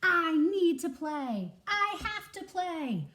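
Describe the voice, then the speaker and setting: A woman voicing a small, eager young male squirrel in a children's picture book, pleading and determined with emphatic, drawn-out words. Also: very high-pitched, very animated, noisy background, pitched above the performer's own voice, heavily acted.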